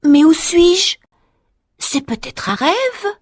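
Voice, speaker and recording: A woman voicing a curious, anxious young girl in a storybook reading, with a high, wide-eyed, excited delivery. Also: takes it slowly, dry recording, studio quality, full character voice.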